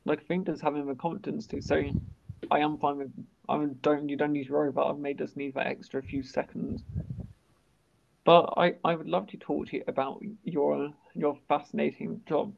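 Young man who stammers, gravelly voice. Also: fairly high pitch, flat delivery, moderate pace. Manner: stammers, restarts words. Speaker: podcast host. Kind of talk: casual interview chat. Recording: dull lo-fi sound.

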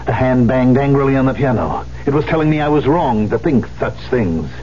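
An actor playing a proud, embittered concert pianist in a 1970s radio drama, with a theatrical, emphatic delivery. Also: male voice, medium pitch, lively intonation, brisk, dark tone, natural voice. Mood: fervent conviction. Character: proud, embittered concert pianist who lost his hand. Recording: muffled, hissy old radio broadcast.

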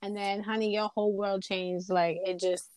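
A woman, playful and easygoing, sometimes stretching out a word. Her pitch sits in the middle. She is recorded close and dry. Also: level tone, moderate pace, darkish tone, clean tone, no room sound, poor audio. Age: 20s. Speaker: podcast co-host. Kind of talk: casual chat.

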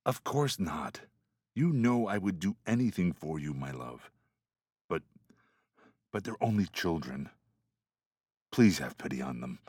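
A man's voice: low-pitched, lively intonation, unhurried, long pauses, darkish tone, breathy edge, dry recording, clean studio sound, exaggerated voice. A man playing a guilt-ridden woodcutter father who pleads for his children in a fairy-tale drama. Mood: pleading. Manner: pleading, anguished.

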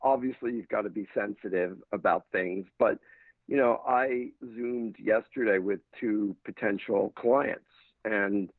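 A man's voice: on the low side, measured pace, no room sound. A man with an American accent, a talent manager, giving thoughtful answers in a casual interview with frequent restarts. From England. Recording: dry, tinny phone-like line.

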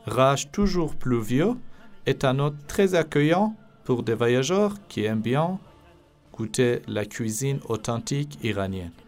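A young man from Iran speaks French, his voice sitting at a middle pitch. He hosts a podcast in calm, earnest narration, now and then pausing to hesitate. Faint music plays underneath him. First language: Persian. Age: 20s. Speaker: podcast host.

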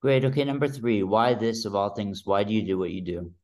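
A man's voice: on the low side, steady tone, a little fast, darkish tone. A man interviewing a guest, moving through numbered questions with short, upbeat prompts.

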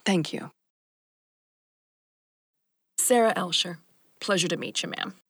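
A woman playing a polite, businesslike detective in an audio drama, courteous and measured. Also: fairly low pitch, a bit bright, husky, dry recording, clean studio sound, full character voice. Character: polite, businesslike female detective, flattering and composed.

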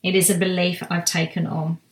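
A woman giving a slow, reflective spiritual talk, soft-spoken, with long pauses and restarts. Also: lowish voice, little pitch movement, echoey room, rough recording. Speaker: spiritual guide sharing monthly energy reading.

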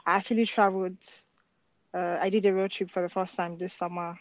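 A woman's voice: fairly low pitch, level tone, a little fast, lo-fi sound. A young woman from Nigeria, a podcast guest chatting casually and friendly, often laughing, with filler words. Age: young adult. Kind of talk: casual chat.

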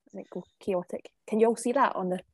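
A woman's voice: mid-range voice, quick pace, slightly rough, dry recording, studio quality. A woman hosting a video call, chatty and a little flustered while sharing her screen. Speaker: host. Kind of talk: casual chat on a video call.

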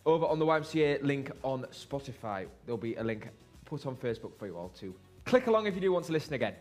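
A man with a mid-range voice talks quickly as a podcast co-host. He mixes casual announcements with jokey asides, and faint music plays behind him. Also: mid-range voice, very animated, a little mellow.

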